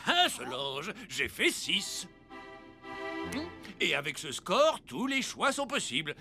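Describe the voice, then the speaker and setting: A man's voice: a little high, wildly swooping pitch, takes it slowly, gravelly, over music, exaggerated voice. An actor playing a jolly, gullible old Father Christmas in a French children's cartoon, playful and naive. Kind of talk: children's animated cartoon dub.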